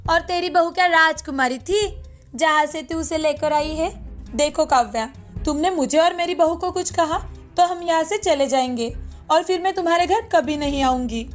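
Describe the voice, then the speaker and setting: Woman acting a catty, high-pitched society lady. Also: normal speed, soft background music, close to an everyday voice. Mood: offended. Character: catty middle-aged society friend, taunting and touchy. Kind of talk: cartoon voice acting in a moral story.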